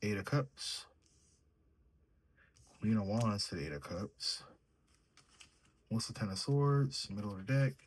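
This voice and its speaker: A young man reads tarot in a mellow, sleepy manner, musing out loud over each card. His voice sits low and has a husky, breathy edge. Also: lively intonation, measured pace, long pauses. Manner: sleepy, mellow, thinks aloud while drawing cards. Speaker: tarot reader. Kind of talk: solo tarot reading, casual chat.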